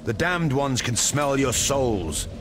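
A man's voice: mid-range voice, lively intonation, slow, background noise. A man playing a grave, mystical knight guide in a game cutscene, speaking formally and portentously. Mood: grave urgency. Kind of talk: video game cutscene acting.

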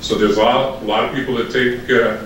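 A retired older man giving a measured, businesslike public comment at a county board meeting. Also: medium pitch, little pitch movement. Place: large council chamber. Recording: echoey hall from far off, noisy.

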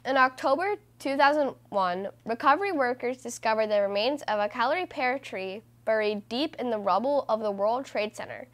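A woman reading a children's book aloud as host, in a measured, deliberate way with stressed phrases. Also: fairly high pitch, animated. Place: small room indoors.

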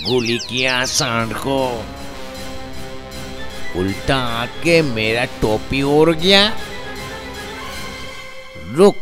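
Creaky blustering older man. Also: mid-range voice, pushed and bright, full character voice. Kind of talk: Hindi children's cartoon acting. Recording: background music under the voice.

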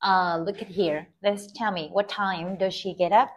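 Woman, Vietnamese accent, English teacher, patient drill questions, gentle corrections, off-camera. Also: mid-range voice, steady tone, normal speed, slight background noise. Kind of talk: one-on-one speaking test with a child.

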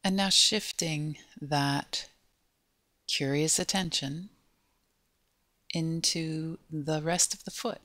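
A woman leads a guided body scan meditation at a very slow pace. Her delivery is gentle, with short phrases separated by pauses. The sound is dry and close, with little room to it. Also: deep voice, steady tone, dry recording. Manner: gentle, unhurried, pausing between short phrases.

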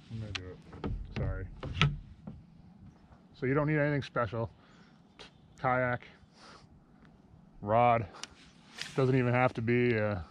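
A man's voice: lowish voice, dull audio. A middle-aged man vlogging casually while fishing from a kayak outdoors, rambling to the camera. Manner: relaxed, rambling, talks to camera while casting. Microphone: action camera behind his head. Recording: outdoor camera audio with steady noise.

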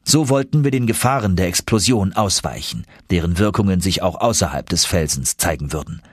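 A man's voice: sits low, lively intonation, a little fast, husky, no room sound, clean studio sound. A man narrating an adventure audiobook in a dramatic, scripted storytelling style.

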